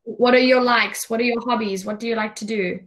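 Young South African woman tutor, slightly high voice. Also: steady tone, brisk, rough recording. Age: young adult. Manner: simple questions to a learner. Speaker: online English tutor. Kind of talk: casual tutoring conversation. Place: indoors in a room, on a video call. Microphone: webcam video call.

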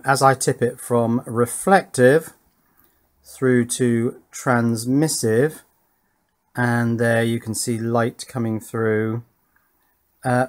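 A man chatting casually as he explains his circuit board build at a home workbench, with wry asides along the way. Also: mid-range voice. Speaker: electronics hobbyist presenter.

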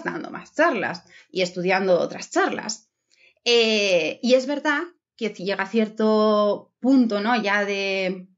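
A woman gives a chatty, encouraging keynote from home over a video call, with many fillers. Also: mid-range voice. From Spain. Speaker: keynote speaker. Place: home room with red curtains. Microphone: webcam video call. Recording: dull lo-fi video call audio.

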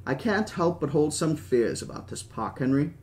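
A man's voice: a little high, sing-song, normal speed, darkish tone. A man voicing a stubborn, grandiose old park founder in his seventies, with an attempted British accent and a genteel, speechifying manner. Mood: wistful. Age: 70s.

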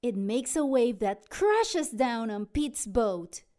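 A woman narrating a children's storybook aloud in an expressive, dramatic way. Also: a little high, takes it slowly. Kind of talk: animated children's read-aloud.

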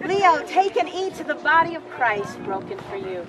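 A woman pastor in her sixties leading an outdoor service, projecting with deliberate phrasing, recorded on a camera from a distance. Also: high-pitched, big pitch swings. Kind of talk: outdoor church service, communion liturgy and informal asides. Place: open grassy campground, outdoors. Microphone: camera several metres away.

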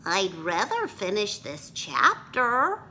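A woman voicing a gentle, bookish young boy bunny who politely pleads and explains in a storybook read-aloud. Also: mid-range voice, huge pitch swings, takes it slowly, voice pushed up, heavily acted. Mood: pleading.

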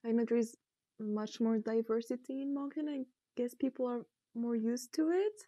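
A woman's voice: on the high side, normal speed, no room sound, clean studio sound. A young woman from Morocco whose first language is Arabic, chatting in an interview with frequent laughter and playful quoting of others, on a lapel mic. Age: young adult. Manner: laughs often, animated, quotes people playfully. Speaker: interviewee, newcomer. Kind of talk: casual interview talk.

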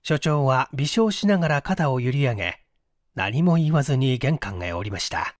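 A man's voice: medium pitch, lively intonation, moderate pace, no echo, studio quality. A man reading a literary mystery story aloud as scripted audiobook narration. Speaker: audiobook reader, stage actor.

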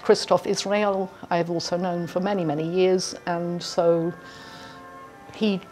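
Middle-aged female musician, conversational interview, lowish voice, faint music underneath. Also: little pitch movement, takes it slowly, darkish tone. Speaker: musician who organises an orchestra. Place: indoor room with brick walls, bar behind. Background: background music.

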